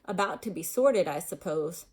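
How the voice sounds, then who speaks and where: A woman's voice: fairly low pitch, expressive, moderate pace, a little mellow, breathy edge. A woman voicing a kindly, forgiving little fat male monk ghost in a storybook, genial and chatty.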